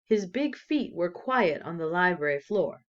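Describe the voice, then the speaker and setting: Casting: female story reader, lowish register, lively expressive delivery, deliberate emphasis, slightly lo-fi recording. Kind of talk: expressive read-aloud storytelling.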